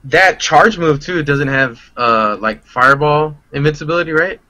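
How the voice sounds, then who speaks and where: A man's voice: on the high side, moderate pace, sharp tone, no room sound. A man chatting casually and analysing a game as a podcast guest, in slangy, conversational language. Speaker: guest player on a gaming podcast.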